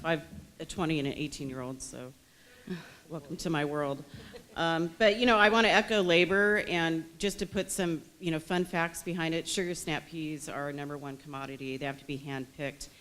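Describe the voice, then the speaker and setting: A middle-aged woman with a notably low voice speaks as a business owner on a panel. Her delivery is brisk and upbeat. She keeps a plain, practical tone. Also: a bit bright, low-quality recording. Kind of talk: panel talk.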